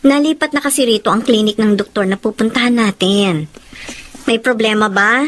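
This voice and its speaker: A woman's voice: on the high side, moderate pace, natural voice. An actress playing an attentive, reassuring pregnant woman in a Filipino romantic radio drama. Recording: clear background noise in drama scene.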